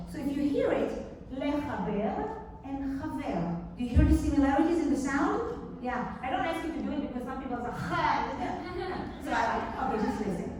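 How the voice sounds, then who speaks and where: High-voiced woman, echoey hall. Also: recorded from a distance, slight background noise. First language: Hebrew. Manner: emphatic, builds to excited urging of the audience. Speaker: keynote speaker. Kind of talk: motivational keynote speech. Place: large event hall. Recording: echoey hall, mic from afar.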